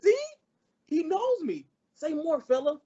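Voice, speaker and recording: A man's voice: very high-pitched, sing-song, unhurried, mellow, dull audio, heavily acted. A man playing a naive, good-natured teenage schoolboy, earnest and polite, in an old-time radio comedy. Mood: eager, friendly.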